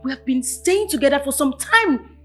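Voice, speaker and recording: A woman's voice: high voice, expressive, normal speed, a bit bright, soft background music. An actress playing an insistent young woman in a screen drama, defending someone firmly and emphatically. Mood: defensive. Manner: firm, emphatic.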